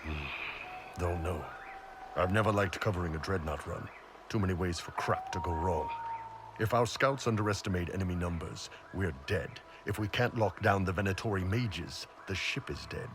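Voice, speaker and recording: An actor playing a big, laid-back horned mercenary warrior in a video game, talking bluntly and casually. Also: male voice, deep voice, normal speed, background noise, natural voice. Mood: wary.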